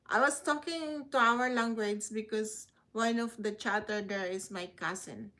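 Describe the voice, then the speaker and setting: A woman's voice: fairly high pitch, unhurried, sharp tone. A Filipino woman, a native Tagalog speaker, hosting a casual livestream in a chatty, informal manner as she answers comments. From Philippines. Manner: chatty, informal, switching between Tagalog and English. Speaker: livestream host.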